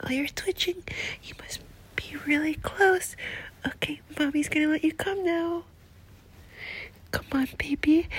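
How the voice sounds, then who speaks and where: A woman as a whispering, doting nurse. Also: extremely high pitch. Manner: soft breathy whisper, slow and coaxing. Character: doting, flirtatious female nurse, motherly and teasing. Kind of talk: whispered ASMR roleplay.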